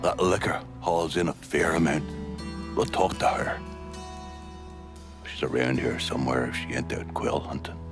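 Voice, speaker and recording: An actor playing a bitter, foul-mouthed middle-aged Irish gangster in a video game, with a brooding delivery and an Irish accent. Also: male voice, very deep voice. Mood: wry, grudging. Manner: slow, brooding, drawn-out delivery. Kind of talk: cinematic video game acting. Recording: music behind the voice.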